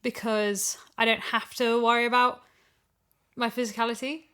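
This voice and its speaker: A woman's voice: fairly high pitch, little pitch movement, normal speed, fairly bright tone, clear, resonant tone. A woman chatting casually and animatedly as a voice actor, wordy and thinking aloud. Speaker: voice actor and podcast guest. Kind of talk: casual chat.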